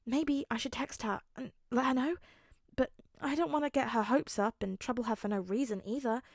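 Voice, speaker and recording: A woman voicing an anxious, excitable teenage boy who mutters nervously. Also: fairly high pitch, fairly quick, gravelly, no echo, studio quality. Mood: fretting.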